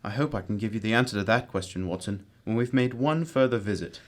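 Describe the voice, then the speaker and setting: A man playing a composed, analytical gentleman detective in a radio drama, deliberate, courteous and authoritative. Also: on the low side, lightly acted. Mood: assured, deductive.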